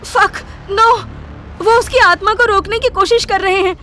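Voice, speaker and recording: A woman's voice: extremely high pitch, steady tone, a little slow, pushed and bright, slight background noise, exaggerated voice. A woman playing a panicky young woman in a horror audio drama. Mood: panicked. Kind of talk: audio drama acting, English exclamations.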